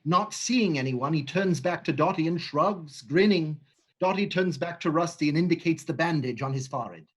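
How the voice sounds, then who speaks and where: A man's voice: fairly high pitch, moderate pace, breathy edge. A man plainly reading stage directions in a dramatized script reading.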